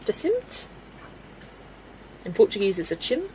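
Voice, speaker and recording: A young woman chats easily at her craft desk as she colours on a livestream, her voice sitting at an ordinary middle pitch. She laughs often and talks half to herself while she works. Faint pencil scratching and a slight hiss sit behind her. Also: wildly swooping pitch, moderate pace, mellow, rough recording. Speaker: livestream host. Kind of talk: casual chat with a live chat while colouring.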